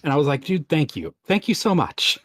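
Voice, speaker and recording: A man's voice: on the high side, sing-song, brisk, a bit bright, no echo. A man chatting informally and conversationally as a panelist on a podcast. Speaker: podcast panelist, voice actor who does sound design. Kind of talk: casual chat.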